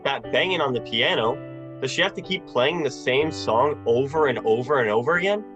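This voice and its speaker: A man's voice: mid-range voice, expressive, normal speed, highs cut off, over music, natural voice. A man playing a short-tempered, desperate family man in a radio play, agitated and stammering. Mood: irritable, bitter. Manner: agitated, stammering, repeating phrases. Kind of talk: radio drama acting.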